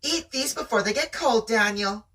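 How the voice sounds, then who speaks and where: A reader voicing a gentle, earnest mother from a sci-fi novel. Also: medium pitch, moderate pace, far from the mic, pitched above the performer's own voice, lightly acted. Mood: caring.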